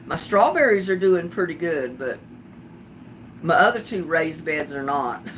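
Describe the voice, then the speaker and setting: A woman's voice: deep voice, big pitch swings, a little slow, slight background noise, low-quality recording. An older woman from North Carolina with a Southern accent touched by Texas, chatting casually on a livestream as a gardening channel host and telling stories with frequent laughs. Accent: Southern US with some Texas. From North Carolina, USA. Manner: chatty storytelling, laughs often.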